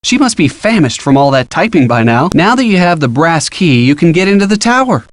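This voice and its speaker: Eager, higher-voiced young male helper. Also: very animated, brisk, dry recording. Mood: helpful, coaching. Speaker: hint-giving game character. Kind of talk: scripted video game voice acting.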